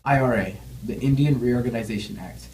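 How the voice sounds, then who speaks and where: A man's voice: medium pitch, fairly quick, darkish tone, slight background noise, rough recording. A young man reading scripted news as an anchor and reporter.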